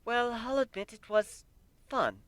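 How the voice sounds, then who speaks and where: A man's voice: very high-pitched, sing-song, unhurried, fairly bright tone, dry recording, pitched above the performer's own voice, full character voice. A man voicing an indignant, haughty pony princess with archaic speech in a story reading. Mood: grudging.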